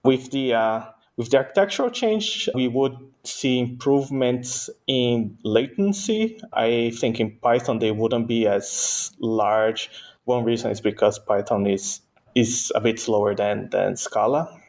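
A man from Brazil, a native Portuguese speaker, answering interview questions conversationally as a guest engineer, with halting phrasing and repeated words. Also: medium pitch, animated, darkish tone.

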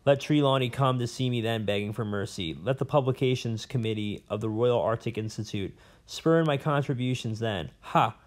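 A man's voice: mid-range voice, moderate pace, lightly acted. A man voicing a pompous, rambling old male professor in an audiobook, blustering and fussy. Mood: boastful. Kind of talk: audiobook character voice.

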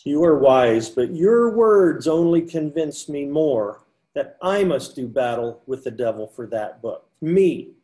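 A man's voice: a little high, lively intonation, unhurried, dark tone. An older man playing a devout, stubborn friar in amateur readers theater, with bold, declamatory archaic speech. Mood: determined. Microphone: computer mic on a video call.

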